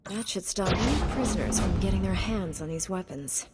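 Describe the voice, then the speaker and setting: An actress playing a calm, resolute female knight hero in a video game. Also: mid-range voice, normal speed, close to an everyday voice. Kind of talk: dramatic video game voice acting. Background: music behind the voice.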